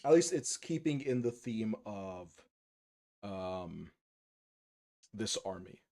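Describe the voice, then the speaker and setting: A man's voice: lowish voice, takes it slowly. Man with a slight Polish accent. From United States, raised speaking Polish. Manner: relaxed, rambling, many fillers and restarts. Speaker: hobby painting streamer. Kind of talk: casual live-stream chat while painting.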